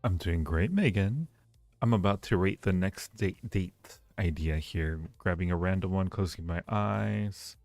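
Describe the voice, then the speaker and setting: A man's voice: low-pitched, unhurried, gravelly, darkish tone. A man hosting a livestream, chatting casually with viewers in a rambling way, often repeating phrases as he goes. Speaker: livestream host. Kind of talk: casual chat with live stream viewers, rating ideas. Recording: roomy echo, faint music behind. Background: faint music.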